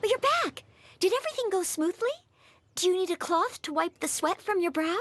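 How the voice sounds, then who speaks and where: A woman's voice: very high voice, lively intonation, brisk. A woman voicing an eager, helpful and humble teenage girl knight in a video game, with a polite, bubbly manner. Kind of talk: scripted video game dialogue.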